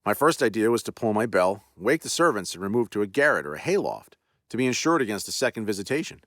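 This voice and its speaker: A man's voice: lowish voice, sing-song, fast talker, a bit bright, no room sound, clean studio sound. A man reading the part of a proud army general formally confessing his terror, in earnest period speech. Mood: shaken.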